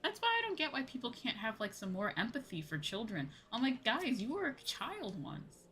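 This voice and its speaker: A woman's voice: a little high, sing-song, moderate pace, fairly bright tone, slight background noise. A woman streamer talking casually and conversationally in a livestream chat. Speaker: VTuber streamer.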